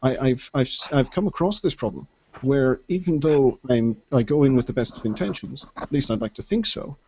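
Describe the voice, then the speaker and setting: An Irishman with a posh-sounding accent, speaking in a measured, hesitant way and stammering when pressed. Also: mid-range voice, animated, a little mellow, a little hiss or hum. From Ireland. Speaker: debate participant, left activist. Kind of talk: online voice-chat debate. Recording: dull lo-fi voice chat audio.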